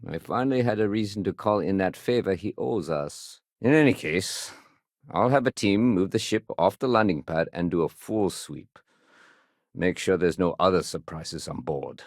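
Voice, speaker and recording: A man's voice: sits low, moderate pace, no room sound, clean studio sound. A man voicing a dutiful, weary security sergeant in a sci-fi video game, speaking in a clipped, businesslike but conversational way. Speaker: security officer. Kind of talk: video game dialogue acting.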